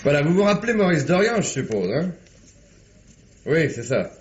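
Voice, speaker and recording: Shrewd police commissioner, dull vintage recording. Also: male voice, on the high side, big pitch swings, normal speed. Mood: businesslike. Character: shrewd, genial, authoritative male police commissioner. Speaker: actor in a radio mystery. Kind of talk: 1950s French radio drama acting. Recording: dull, band-limited vintage radio recording.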